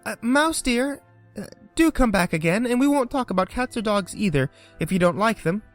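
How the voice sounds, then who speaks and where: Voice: mid-range voice, lively intonation, normal speed, dry recording, soft background music, voice pushed up, close to an everyday voice. A reader voicing a polite, chatty young girl who stumbles over her words in a storybook reading. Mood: eager.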